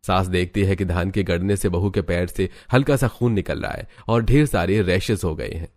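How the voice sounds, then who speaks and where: A man's voice: low-pitched, normal speed, a little mellow, no echo. A man reading scripted story narration for a cartoon.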